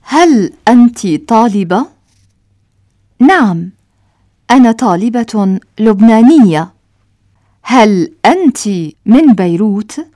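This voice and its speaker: A woman reading a scripted language-lesson dialogue, enunciating each short line slowly and carefully for learners. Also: on the high side, lively intonation, mellow, dry recording, clean studio sound.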